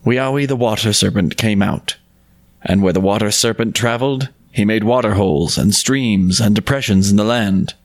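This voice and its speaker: A man co-hosting a podcast, discussing and narrating folk tales in an earnest, unhurried way. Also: fairly low pitch, gravelly. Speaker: podcast co-host and storyteller.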